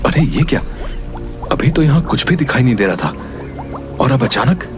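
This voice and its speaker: A man voicing a brave, determined young hero in an animated fairy tale, speaking in an earnest, dramatic way. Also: medium pitch, moderate pace, over music, close to an everyday voice. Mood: startled.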